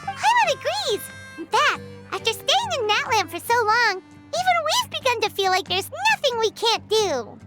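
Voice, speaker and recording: A woman voicing a tiny, cheerful, childlike fairy companion with a squeaky, bubbly delivery in an animated game style. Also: normal speed. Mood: excited, impressed. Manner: speaks of herself in third person, bubbly. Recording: loud music behind the voice.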